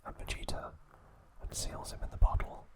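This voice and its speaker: A man hosting ASMR, giving a recap in a breathy, unvoiced whisper with gentle, measured pauses. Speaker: ASMR host.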